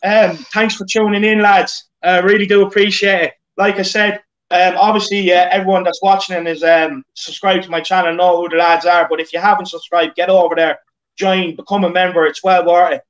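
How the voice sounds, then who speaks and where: A man's voice: high-pitched, little pitch movement, brisk, a bit bright, muffled recording. A man with an Irish accent hosting a casual live football chat, reading out viewer comments with lots of fillers and stammered restarts. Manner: reads out live viewer comments, filler-heavy, repeats words. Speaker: host.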